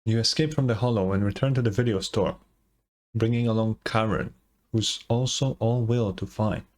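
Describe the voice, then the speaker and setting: A man's voice: fairly low pitch, moderate pace. A young man, a gaming streamer, giving casual playthrough commentary and reading story text aloud.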